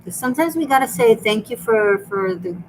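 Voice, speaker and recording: A woman's voice: fairly high pitch, lively intonation, fairly quick, a little hiss or hum, poor audio. A woman with an Israeli Hebrew accent, hosting a live tarot and dream reading in an earnest, chatty way with many restarts. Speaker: tarot reader and host. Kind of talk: live stream reading for viewers.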